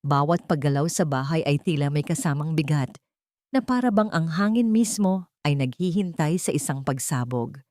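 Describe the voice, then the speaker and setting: A woman's voice: sits low, animated, moderate pace, darkish tone, no room sound, studio quality. A woman with a Filipino accent reads a dramatic scripted love story as its narrator.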